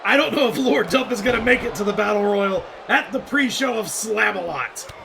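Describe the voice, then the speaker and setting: A man calling wrestling matches play by play in a jokey, rambling style, breaking into shouted calls of the action. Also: high-pitched, normal speed, vocal fry, noisy background. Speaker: play-by-play commentator. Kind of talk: comedic wrestling commentary.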